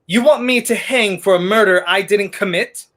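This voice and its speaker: A man's voice: high-pitched, normal speed, a bit bright. A young man playing a wry, weary fugitive hero in a 1930s radio play, sardonic and theatrical in manner. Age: young adult.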